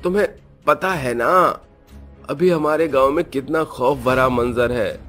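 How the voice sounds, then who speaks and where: A man's voice: medium pitch, measured pace, a bit bright, soft background music, pitched above the performer's own voice, lightly acted. A man voicing frightened, bewildered village men in a horror story, agitated and dramatic. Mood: grumbling, uneasy.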